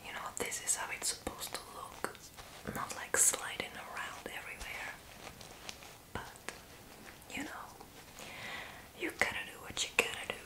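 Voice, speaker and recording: A woman's voice: moderate pace, long pauses, rough recording. A young woman in her twenties whispering playfully and chattily through an ASMR roleplay in a small bedroom, close to the mic. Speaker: ASMR creator. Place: small bedroom lit with fairy lights.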